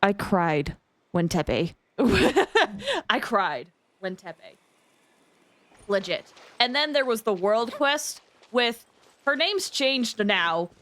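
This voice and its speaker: A woman hosting a livestream, chatting casually with viewers in an expressive, emphatic way. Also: medium pitch, extremely slow. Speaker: livestream host.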